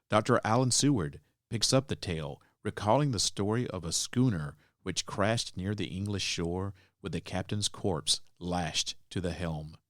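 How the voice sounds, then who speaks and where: A man's voice: deep voice, fairly bright tone, dry recording, clean studio sound. A man hosting a podcast, reading a scripted introduction to old-time radio drama. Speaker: podcast host.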